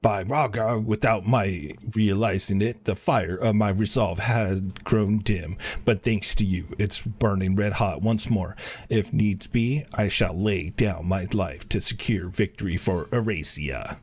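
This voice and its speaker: Husky, low-voiced man streaming a game. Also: a little slow, dry recording. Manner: slangy, offhand. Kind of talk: casual live-stream commentary, reading game dialogue aloud.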